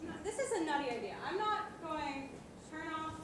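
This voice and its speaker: A woman's voice: sits high, lively intonation, moderate pace. A young woman playing a dismissive, placating husband in a theatrical stage comedy. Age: teens or young adult. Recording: very echoey hall, distant mic, audience noise.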